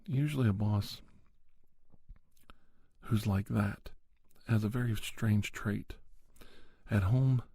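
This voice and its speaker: Deep, gravelly man speaking ASMR-style. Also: steady tone, slow pace, long pauses, dark tone, no echo. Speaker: ASMR podcast host. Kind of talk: ASMR spoken monologue.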